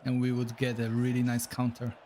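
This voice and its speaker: A man's voice: mid-range voice, completely flat delivery, fairly quick, warm tone, slight background noise. Chatty man with a Polish accent. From Poland. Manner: chatty, reacts aloud to the match, says "bro" and "man". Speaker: streamer. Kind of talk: live gameplay commentary and chat.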